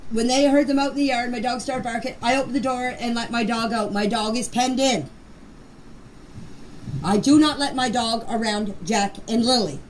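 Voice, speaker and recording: An older woman giving an informal personal account in voice messages, plain-spoken and emphatic, often repeating herself. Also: fairly high pitch. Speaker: grandmother recounting events. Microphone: voice clips recorded for a group chat. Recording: distant, noisy voice message recording.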